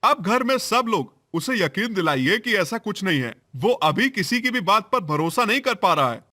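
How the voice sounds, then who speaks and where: A man voicing a kindly doctor in an animated story, speaking gently and coaxingly. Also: high-pitched, normal speed, exaggerated voice. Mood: advising. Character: kindly male child doctor, patient and reassuring.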